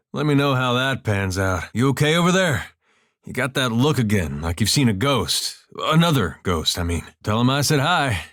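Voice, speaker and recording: A man voicing an easygoing farmer turned adventurer in a video game, with a folksy, drawling, deadpan humour. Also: mid-range voice, normal speed, dry recording, studio quality. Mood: dry, friendly. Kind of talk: video game companion dialogue and barks.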